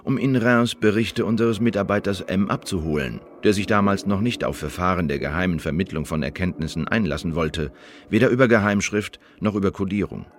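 A dutiful secret service bureaucrat, acted by a man, with a fairly low voice. He reads a written assessment in a measured, pompous, formal radio drama manner. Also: slight background noise, natural voice. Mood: formal, self-important.

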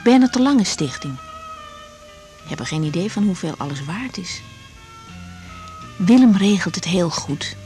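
Levendige, directe jonge vrouwelijke arts. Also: female voice, fairly low pitch, animated, unhurried, long pauses, warm tone, faint music behind, rough recording. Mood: pensive. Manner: direct, questioning, conversational. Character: young woman doctor, caring, brisk, inquisitive. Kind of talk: 1980s Dutch radio drama acting.

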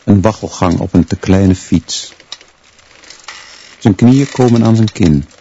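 A man acting as a brooding teacher who narrates a radio drama in a measured, solemn, clipped literary style. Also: fairly low pitch, mellow. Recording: dull, faintly noisy recording.

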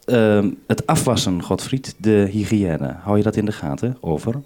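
Low, mellow-voiced man giving methodical instructions. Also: lively intonation, normal speed. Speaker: radio programme maker in the studio. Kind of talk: two-way radio briefing with instructions.

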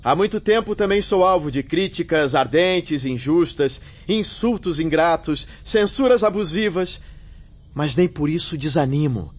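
A man playing a kind, steadfast spirit medium in a radio soap, speaking in an earnest, gentle, formal way. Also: a little high. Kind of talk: dramatic radio soap acting. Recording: muffled radio drama sound.

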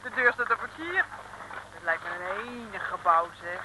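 An actress playing an excitable, chatty, easily frightened young female sidekick in a mid-century comic radio serial, full of fluttery exclamations. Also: mid-range voice, moderate pace, lightly acted. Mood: chirpy. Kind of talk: 1950s-60s comic radio serial acting. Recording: old radio recording, echoing hall, faint noise.

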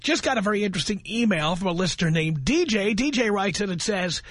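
A man's voice: high voice, animated, fast talker, a bit bright, dry recording. A man hosting a talk radio show, brash and sarcastic as he banters with callers. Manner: brash, sarcastic, mocking put-on voices.

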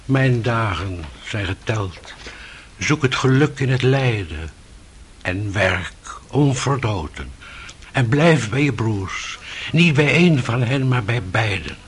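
An actor playing a gentle, dying old monastery elder in a radio drama, solemn and fatherly. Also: mid-range voice, animated, slow pace, a little hiss or hum. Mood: solemn, tender. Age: older.

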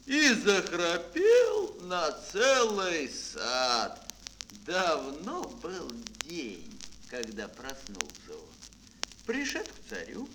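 A man telling a fairy tale for children in a dramatic, drawn-out, expressive storyteller's manner. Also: fairly high pitch, noisy background. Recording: music behind, old mono record. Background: music.

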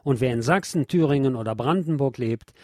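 A man's voice: mid-range voice, fairly quick, a little mellow, no echo, clean studio sound. A man reading scripted news and press reports as a radio presenter.

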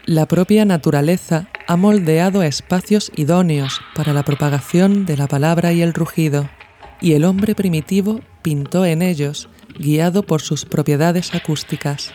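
Mellow, higher-pitched male narrator from a podcast. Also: moderate pace, a little hiss or hum. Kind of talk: scripted narration, literary audio essay. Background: music.

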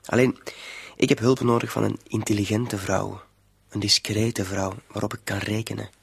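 An actor playing a shady small-time crook in a radio play, hesitant yet smooth-talking and insinuating, coaxing with a quiet threat underneath. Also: male voice, lowish voice, level tone, normal speed. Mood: coaxing, scheming. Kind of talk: Dutch-language radio play acting.

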